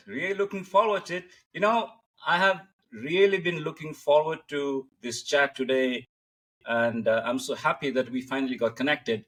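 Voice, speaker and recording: A man's voice: on the high side, a bit bright, slightly rough. A man hosting an interview podcast, speaking with emphatic, formal phrasing. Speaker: podcast host.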